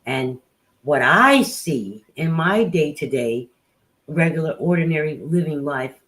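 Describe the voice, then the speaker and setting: An older woman calling in to a live-streamed podcast panel, speaking in a polite, measured way. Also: deep voice, lively intonation, fairly bright tone. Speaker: guest caller.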